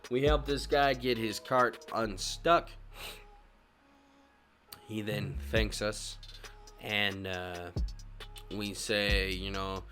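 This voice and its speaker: A man's voice: fairly low pitch, steady tone, drawn-out words, long pauses, bright, forceful tone. A man giving a casual, laid-back recap of a video game, talking in slang and swearing freely. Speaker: gaming video host. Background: music behind the voice.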